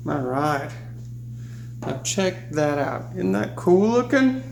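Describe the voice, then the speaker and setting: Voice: mid-range voice, slow, vocal fry. Playful presenter in an echoey room. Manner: playful, exclaims with delight. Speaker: science teacher for children. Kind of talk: hands-on science demonstration. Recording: echoey room with steady hum.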